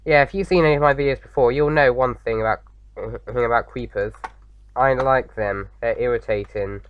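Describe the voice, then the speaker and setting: A man with a slight sore throat, slightly croaky, chatting casually as he hosts a game playthrough, rambling with lots of fillers. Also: mid-range voice, moderate pace, muffled recording, slight background noise. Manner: rambling, many fillers and restarts. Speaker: gaming video host. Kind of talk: casual let's-play commentary.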